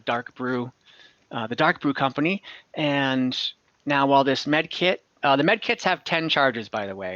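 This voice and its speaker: A man narrating a tabletop fantasy game as game master over a video call, in a halting, improvised way. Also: mid-range voice, bright, forceful tone, no room sound. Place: indoors at home, on a video call. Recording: slightly dull online call audio.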